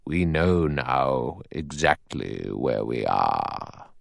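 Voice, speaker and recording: A man voicing an elderly male judge who speaks in a measured, precise, quietly authoritative way. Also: very deep voice, creaky, dry recording, clean studio sound, full character voice. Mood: cool, deliberate. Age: older.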